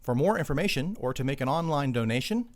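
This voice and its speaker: A man's voice: medium pitch, expressive, quick pace. A man reading a scripted charity announcement for radio as a promo announcer.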